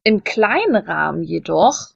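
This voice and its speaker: A woman's voice: fairly low pitch, very animated, unhurried, gravelly, no echo, dull audio. A woman in casual podcast conversation, chatty and self-deprecating, telling personal anecdotes. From Germany. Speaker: podcast co-host.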